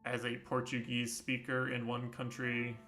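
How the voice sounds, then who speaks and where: A man's voice: medium pitch, monotone, measured pace, sharp tone, faint music behind. A young man streaming a game, chatting casually and rambling through his thoughts with lots of fillers. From United States. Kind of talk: casual gameplay commentary.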